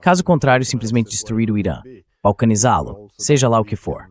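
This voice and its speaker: Brazilian male interviewer for a dubbed discussion, voice a little low. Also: lively intonation, fairly quick.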